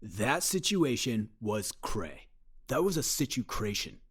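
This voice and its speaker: A man's voice: medium pitch, very animated, unhurried, slightly rough. A man playing a self-important, oblivious restaurant worker in a comedic radio play, brash and flippant.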